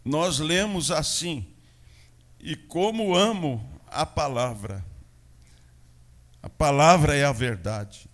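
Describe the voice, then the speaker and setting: A Brazilian pastor with a São Paulo interior accent delivers a church sermon at an unhurried, deliberate pace. His voice sits a little high for a man. He swings between ringing declarations and hushed asides. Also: animated. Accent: Brazilian Portuguese, São Paulo interior. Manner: preaching with swings between loud proclamation and quiet asides.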